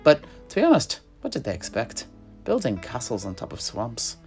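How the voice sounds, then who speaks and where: A man acts as an earthy old-world alchemist and druid in a fantasy audio drama. His pitch swings freely as he rambles along in folksy, rustic turns of phrase. Plucked guitar music plays behind him. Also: huge pitch swings, normal speed, a little mellow. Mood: wry storytelling. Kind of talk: in-character fantasy audio roleplay.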